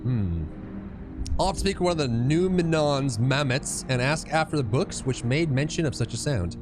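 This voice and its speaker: A man voicing an earnest, formal scholar from a fantasy game, reading the lines in an inquisitive, deliberate manner. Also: mid-range voice, animated, music in the background, close to an everyday voice.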